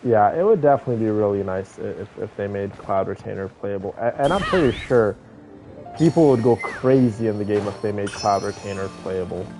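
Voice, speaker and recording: A man's voice: fairly low pitch, fairly quick. A man giving casual, rambling commentary on a video game playthrough, often hesitating as he reacts to it. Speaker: gaming streamer. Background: game music.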